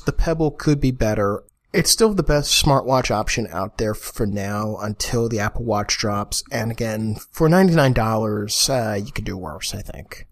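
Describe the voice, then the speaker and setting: Young male podcast host, lowish voice, casual and a bit grumbly, close USB mic at home. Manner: casual, mildly grumbling, with fillers. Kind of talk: solo podcast review. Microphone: USB condenser mic on a boom arm.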